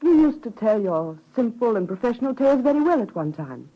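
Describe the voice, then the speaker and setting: Husky, weary wartime sweetheart. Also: female voice, a little high, very animated, brisk. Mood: coaxing. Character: sad, weary wartime woman, the officer's lover. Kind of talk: vintage radio drama acting. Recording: dull vintage radio recording.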